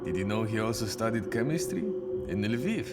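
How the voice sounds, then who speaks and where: An actor playing a plain-spoken, wry, dutiful Soviet lieutenant in a video game. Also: male voice, lowish voice, lively intonation, normal speed, in a large hall, over loud music, lightly acted. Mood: wry, subdued. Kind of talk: video game voice acting, cutscene dialogue.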